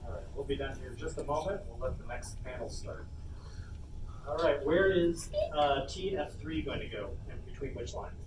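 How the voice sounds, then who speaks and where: A man's voice: mid-range voice, moderate pace. A man presenting a casual live workshop demonstration, joking in a self-deprecating way. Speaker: panel presenter running a workshop. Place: convention panel room before a small audience. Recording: echoey room, distant mic, noisy.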